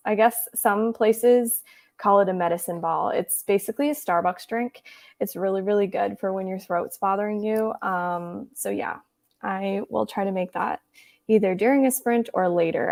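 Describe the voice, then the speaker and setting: Hoarse young woman chatting softly. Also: mid-range voice. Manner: chatty, giggly, reads viewer comments aloud; tries to speak softer than usual. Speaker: booktube livestream host. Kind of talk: casual chat with live viewers.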